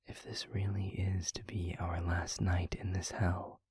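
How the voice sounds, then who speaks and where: A man playing a tender, weary demon lover in an intimate audio roleplay, speaking softly and deliberately in a hushed, breathy, gravelly voice close to the microphone. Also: low voice, completely flat delivery, no room sound, heavily acted. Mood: tender, earnest. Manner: slow, deliberate, soft, wordy romantic phrasing. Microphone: close microphone, dry.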